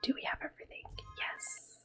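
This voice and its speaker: A woman hosting a relaxing game playthrough, talking mostly in a gentle, unhurried whisper with small exclamations here and there. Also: soft background music. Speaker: gaming ASMR host. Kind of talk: soft whispered game commentary.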